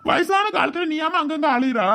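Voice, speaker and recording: Woman playing aggrieved younger son, high voice, quick-fire scolding, accusing questions, family drama. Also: expressive, fairly bright tone. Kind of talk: amateur family drama dialogue.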